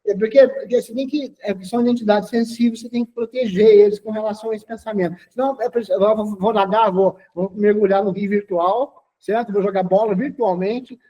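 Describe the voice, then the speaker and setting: Older male interview guest, 60s, instructive and talkative; high, husky voice. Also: fairly even intonation, a little fast, hardly any pauses, dark tone, poor audio. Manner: lecturing, tags sentences with "tá?". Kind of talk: casual interview talk.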